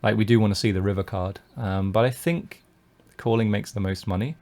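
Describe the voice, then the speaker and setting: Gravelly-voiced British man commenting on poker. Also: lowish voice, steady tone, a little fast, a little mellow. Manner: thinks aloud with many fillers and restarts. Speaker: poker coach streaming his play. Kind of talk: live-stream commentary.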